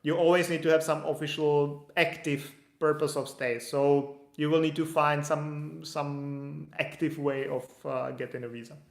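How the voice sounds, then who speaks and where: A man's voice: a little high, flat delivery, a little slow, gravelly, hardly any pauses. A young man, an immigration consultant casually answering viewer questions in a live stream, thinking aloud with many restarts. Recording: echoey room.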